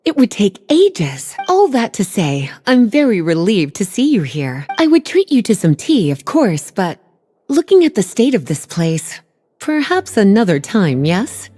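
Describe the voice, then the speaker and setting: A warm, teasing librarian. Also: female voice, mid-range voice, very animated. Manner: teasing, unhurried, coy. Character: teasing, languid adult woman librarian mage. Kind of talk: video game cutscene dialogue.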